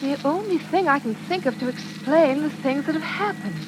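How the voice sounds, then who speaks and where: A woman's voice: sits high, lively intonation, normal speed. An actress playing an earnest, devoted, well-bred young woman in refined, emotive 1940s radio drama style. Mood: anxious, tender. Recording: noisy, hissing old radio recording.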